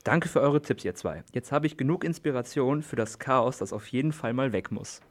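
A young male voice with a medium pitch takes part in a scripted radio dialogue. He sounds like a youth radio presenter. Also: expressive, normal speed. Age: child or teenager.

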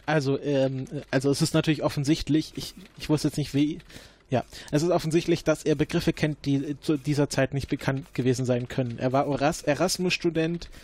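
A man's voice: on the high side, steady tone, a little fast, a little mellow. A man playing an improvised detective role-play, hesitant and searching for words. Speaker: player.

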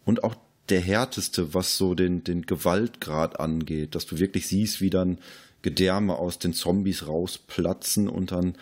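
A man's voice: deep voice, fairly even intonation, measured pace, darkish tone. A man chats casually about films as a podcast host. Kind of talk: casual film discussion.

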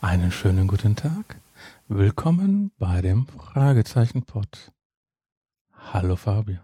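A man. His voice is mellow and somewhat rough, recorded dry with no room sound. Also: lowish voice, lively intonation, a little slow, no room sound. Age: 50s. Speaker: podcast co-host. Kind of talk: casual chat about audio dramas.

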